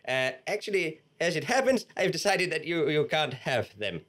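Man playing a flustered, greedy feudal lord, raised pitch. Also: animated, moderate pace, fairly bright tone. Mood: flustered, petty. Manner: hesitant, stammering, apologetic bluster. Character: cruel, greedy, shifty old feudal lord, flustered and petty. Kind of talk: live audio-drama comedy performance. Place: small theatre stage before an audience. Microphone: stand microphone.

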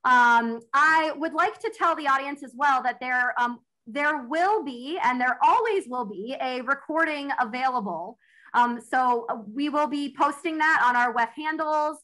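Bubbly high bright-voiced woman host. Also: a little slow, poor audio. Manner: bubbly, expressive, many ums. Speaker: webinar host. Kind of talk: wrapping up a webinar. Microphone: video call webcam.

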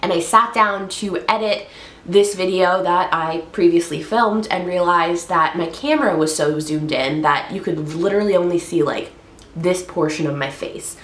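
A woman's voice: on the low side, normal speed, a bit bright. A young woman in her twenties with a head cold, chatting upbeat about books on her vlog. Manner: chatty, upbeat, frequent fillers. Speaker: book vlogger. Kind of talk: casual book review vlog. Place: bedroom, indoors. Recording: echoey room.

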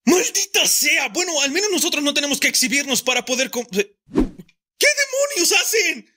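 A woman voicing an explosive, foul-mouthed, competitive teenage boy with a raspy voice in a cartoon fandub. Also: high voice, big pitch swings, normal speed, sharp tone, exaggerated voice. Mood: exasperated, angry. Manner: aggressive, insulting. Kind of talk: fandub comic acting.

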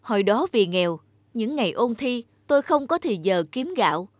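A woman voicing a poor, grateful young male scholar in an animated folk tale, polite and earnest. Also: on the high side, moderate pace, no echo. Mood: grateful, sincere. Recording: music behind the voice.

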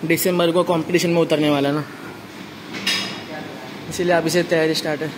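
A young man vlogging casually at a gym, loudly egging his friends on through their lifts. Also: fairly high pitch, little pitch movement, a little fast. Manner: loud cheering and egging on friends. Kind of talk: casual gym vlog chat. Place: indoor gym, later outdoors in rain. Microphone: handheld phone camera. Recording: noisy phone recording.

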